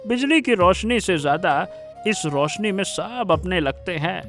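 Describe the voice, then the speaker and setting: A man playing a warm, sentimental elderly village father in a Hindi cartoon story, given to exclaiming. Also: sits high, expressive, a little slow, faint music behind, full character voice. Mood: warm, reminiscing. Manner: exclamatory, repeats words of praise.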